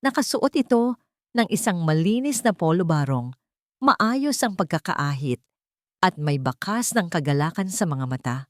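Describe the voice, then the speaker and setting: A woman narrates a scripted story in a dramatic style. Her voice sits slightly low and moves with animated intonation. The recording is close and dry. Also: normal speed, no echo.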